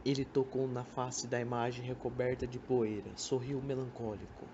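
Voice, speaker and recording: A man's voice: medium pitch, little pitch movement, normal speed, muffled recording. Young Brazilian man narrating, faint background noise. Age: young adult. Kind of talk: scripted literary radio drama narration. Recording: amateur recording with background noise.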